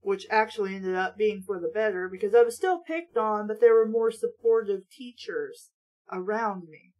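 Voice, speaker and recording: A woman's voice: medium pitch, fairly even intonation, normal speed, darkish tone, low-quality recording. A woman reading aloud and breaking off for blunt, exasperated, sweary, sarcastic commentary. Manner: casual, sweary. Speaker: host of a book-mocking reading show.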